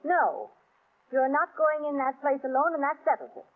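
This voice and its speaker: An actress in a 1930s radio drama playing a spirited, teasing, devoted wife who banters and scolds insistently. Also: female voice, sits high, animated, moderate pace. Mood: insistent, playful. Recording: tinny, narrow 1930s radio recording.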